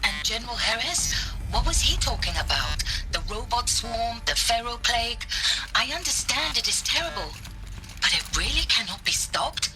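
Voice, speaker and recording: An actress playing a bewildered, distressed art historian in a video game, speaking in a halting, questioning, pleading way. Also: female voice, on the high side, very animated, music in the background, poor audio. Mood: distressed, confused. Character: bewildered, distressed woman art historian recruited against doom. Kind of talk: dramatic video game acting.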